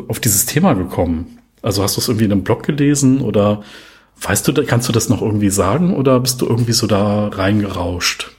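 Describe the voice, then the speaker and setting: A man's voice: lowish voice, darkish tone, breathy edge. A young man hosting a podcast, chatting loosely with lots of fillers and rambling questions. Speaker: podcast host. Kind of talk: casual interview chat.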